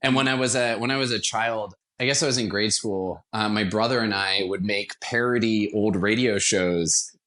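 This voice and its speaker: Young american guy on a podcast, kinda low voice, chatty storytelling, jokes at his own expense. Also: moderate pace, hardly any pauses, fairly bright tone. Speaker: podcast guest, actor and theater company founder. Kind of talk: conversational interview storytelling.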